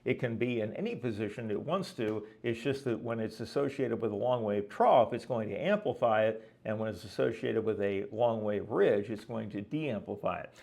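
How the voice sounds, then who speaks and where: A man's voice: fairly low pitch, expressive, normal speed, creaky, hardly any pauses, darkish tone. A man giving an explanatory lesson as a weather presenter, talking conversationally and often checking in with the listener. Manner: didactic, conversational, frequently checking with "okay?". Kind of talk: explanatory lecture with visual examples.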